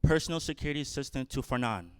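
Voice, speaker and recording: A man narrating a script for a staged reading, announcing each item in a measured way. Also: medium pitch, lively intonation, clean tone, no room sound. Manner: measured, announcing items one by one.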